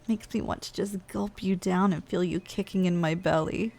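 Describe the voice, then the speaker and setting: A woman's voice: fairly low pitch, steady tone, normal speed, gravelly, darkish tone. A woman voicing a playful, lazy giantess office worker in an intimate ASMR roleplay, coaxing and casually condescending as she speaks straight to the listener. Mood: playfully teasing.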